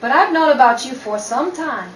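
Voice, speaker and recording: Wronged society wife, acted by a woman, indignant and firm, lively swooping delivery, 1930s radio style, roomy distant sound. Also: high-pitched, moderate pace. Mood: indignant resolve. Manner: dramatic, indignant. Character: proud, wounded society wife and mother, firm. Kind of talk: 1930s radio play acting. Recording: echoey room, distant mic, faint noise.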